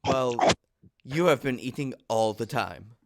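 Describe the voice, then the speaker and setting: A man reading the part of an earnest, easily exasperated upper-class gentleman in love, in a theatrical, lofty manner for a stage comedy. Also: medium pitch, expressive, a little slow, gravelly, heavy background noise.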